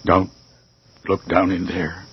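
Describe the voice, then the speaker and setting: A man acting in a radio horror drama, playing a wary, reluctant man in a graveyard, with a husky voice. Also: deep voice, completely flat delivery, takes it slowly, heavily acted. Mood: shaken. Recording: muffled old radio drama recording.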